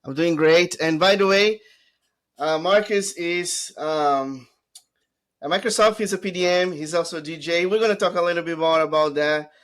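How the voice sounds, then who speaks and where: A man's voice: on the high side, moderate pace, a bit bright. A man with a Portuguese accent hosting a podcast interview, chatty and enthusiastic, with lots of fillers. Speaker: podcast host. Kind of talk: casual interview chat.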